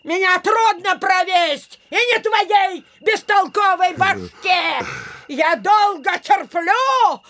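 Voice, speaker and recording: An actress playing a furious village woman in a theatrical radio play, shouting a drawn-out, accusing tirade. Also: extremely high pitch, full character voice. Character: furious, scolding village woman, the headman's sister-in-law.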